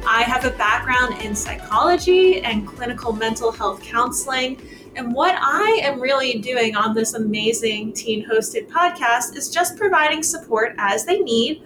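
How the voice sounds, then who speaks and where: A woman's voice: a little high, rough recording. A woman who works as a wellness coordinator, talking in a supportive, casual way on a podcast.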